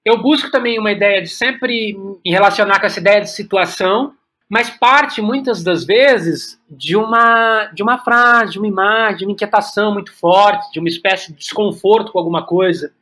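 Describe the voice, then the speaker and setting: A man's voice: high voice, moderate pace, bright, forceful tone. A Brazilian man speaking Portuguese as an interview guest, reflective and hesitant, often repeating words as he searches for the next one. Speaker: guest playwright and director. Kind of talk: reflective podcast interview talk.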